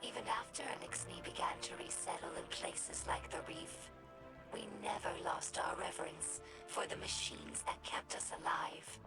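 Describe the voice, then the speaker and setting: A woman voicing an earnest, gentle young female alien scribe in a video game, narrating lore in a measured, formal, reflective way with a breathy voice. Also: fairly low pitch, over loud music, poor audio. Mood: fond, reflective. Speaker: scribe recording her house's history.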